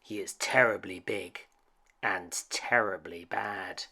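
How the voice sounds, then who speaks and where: A man voicing a gruff father monster in a storybook, solemn and warning in an ominous manner. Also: low-pitched, steady tone, takes it slowly, sharp tone, heavily acted. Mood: grave, warning.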